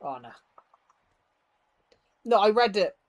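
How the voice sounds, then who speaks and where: A man's voice: sits high, very animated, takes it slowly, dull audio. A man chatting casually on a gaming livestream, rambling and self-deprecating, often stammering and repeating his words. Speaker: live streamer. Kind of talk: casual livestream chat while gaming, reading out viewer comments.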